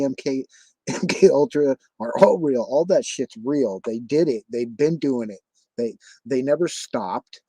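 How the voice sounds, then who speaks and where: A man rants profanely on a livestream, repeating himself as he hammers his points home. His voice sits at an ordinary pitch. The sound is dry and close. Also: mellow, dry recording, rough recording. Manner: profane, ranting, repetitive. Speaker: livestream host. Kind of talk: casual livestream chat.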